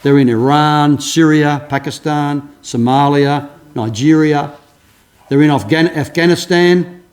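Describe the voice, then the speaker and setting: A man's voice: a little high, unhurried, a little mellow. An older pastor delivers his sermon in a slightly raised voice. He tells stories earnestly and often restarts his sentences along the way. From Australia. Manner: earnest storytelling, many restarts.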